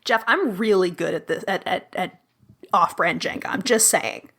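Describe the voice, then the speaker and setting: A woman playing a solo tabletop game as guest, expressive and playful, laughing often. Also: on the low side, normal speed. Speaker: player, guest. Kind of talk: casual play and improvised storytelling. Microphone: remote call with headphones.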